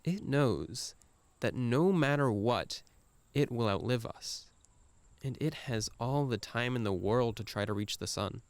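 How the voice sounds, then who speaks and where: A man's voice: mid-range voice, expressive, unhurried, no room sound. A man performing a scripted first-person monologue in a reflective, musing manner with a wry edge.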